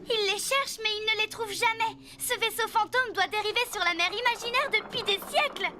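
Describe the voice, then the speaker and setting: A woman's voice: very high voice, normal speed, background noise. A woman voicing a sweet, enthusiastic young pirate girl in a French children's cartoon. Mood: intrigued. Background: background music.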